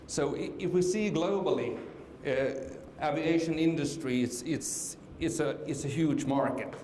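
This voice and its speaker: A man's voice: a little high, a little slow, darkish tone. A middle-aged man from Finland giving a conference presentation, hesitant, with many fillers and restarts. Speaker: conference speaker. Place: conference hall. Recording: echoey hall with faint noise.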